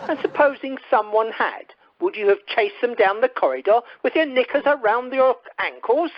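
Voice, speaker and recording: A woman acts a pompous, short-tempered husband in middle age for an amateur radio comedy. The delivery is formal and varied in pitch, over a dry, muffled phone line. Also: expressive, moderate pace, dry recording. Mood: irritated. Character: stuffy, irritable well-dressed middle-aged husband. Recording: dull, dry telephone conference line.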